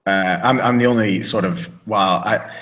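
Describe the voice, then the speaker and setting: A man's voice: fairly low pitch, normal speed, fairly bright tone, a little hiss or hum. A British man co-hosting a podcast, talking casually and rambling with many restarts and fillers. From Britain. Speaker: podcast co-host. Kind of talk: casual chat. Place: living in a small town in southern Spain.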